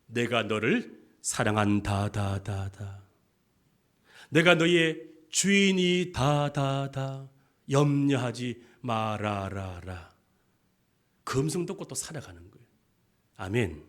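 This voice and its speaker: Impassioned Korean-church male pastor, lively pitch. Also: slow, long pauses. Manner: impassioned preaching with call-and-response questions. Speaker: senior pastor. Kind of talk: sermon.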